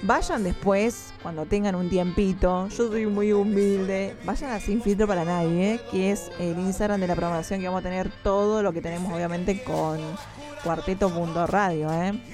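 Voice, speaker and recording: A woman's voice: mid-range voice, fairly even intonation, moderate pace. A woman from Mendoza, Argentina, with an Argentine accent, hosting a morning radio show and chatting directly with her listeners. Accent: Argentine, mixed Mendoza and Córdoba. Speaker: radio host. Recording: music playing behind the voice. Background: music.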